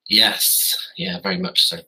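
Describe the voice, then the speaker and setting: A man's voice: unhurried, bright, forceful tone, low-quality recording. A man in his thirties, a podcast guest telling stories casually and correcting himself as he goes, speaking from his garden office. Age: 30s. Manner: rambling, self-correcting, wry. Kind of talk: casual storytelling.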